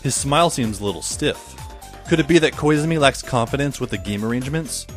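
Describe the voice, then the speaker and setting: A man playing a wry high school boy who narrates an audio drama in the first person, musing as he goes. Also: lively intonation, fairly quick, soft background music, natural voice.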